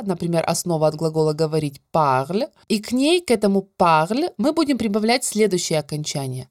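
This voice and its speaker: A woman teaching a French grammar lesson, explaining clearly and stretching out the example words. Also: medium pitch, darkish tone, no echo, studio quality. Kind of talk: scripted grammar lesson narration.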